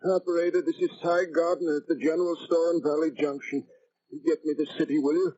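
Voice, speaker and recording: Actor as a high-voiced, uneasy country storekeeper. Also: male voice, level tone, a little fast, warm tone, lightly acted. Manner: plain, hesitant country talk. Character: uneasy small-town general store keeper, folksy and mild. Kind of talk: 1940s radio acting. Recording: muffled, noisy old radio recording.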